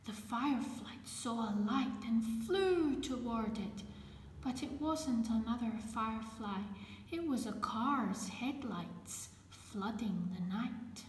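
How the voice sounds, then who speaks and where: Casting: female storyteller, unhurried and gentle, slightly high voice, reading for small children in a reverberant room. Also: animated. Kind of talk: reading a children's picture book aloud. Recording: echoey room with background noise.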